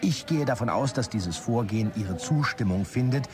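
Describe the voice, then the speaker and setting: Actor as male executive, radio drama, formal, pompous, self-important, mid-pitched voice, clear music bed. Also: lively intonation, moderate pace, natural voice. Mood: formal, scheming. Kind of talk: radio drama, read-out business letters.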